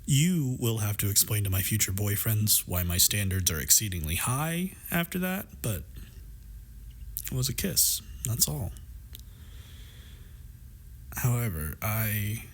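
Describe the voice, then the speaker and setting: Man playing a low-voiced, teasing, supportive best friend. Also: very animated, measured pace, pauses often, exaggerated voice. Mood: earnest, gentle. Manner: playful, affectionate, wry, reassuring. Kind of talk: intimate scripted roleplay audio drama.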